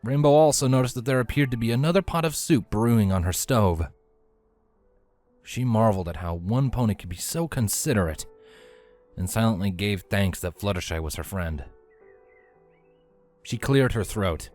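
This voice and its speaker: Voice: medium pitch, moderate pace, long pauses, darkish tone, faint music behind. A narrator reading scripted fiction aloud as storytelling. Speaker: narrator, fanfiction reader.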